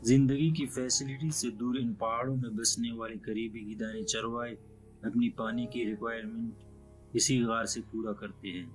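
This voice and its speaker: A man narrating a travel vlog as a calm, scripted voice-over. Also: medium pitch, moderate pace, poor audio. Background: soft background music.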